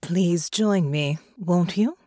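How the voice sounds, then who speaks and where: A woman's voice: fairly low pitch, no room sound, clean studio sound. A woman playing a cool, unyielding countess. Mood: cool, probing. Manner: calm, quiet, measured, probing.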